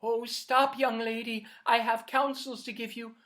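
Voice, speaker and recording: A woman voicing a wise old woman of the forest who gives calm, measured advice. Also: a little high, voice pushed up. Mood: advising. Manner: measured, advising.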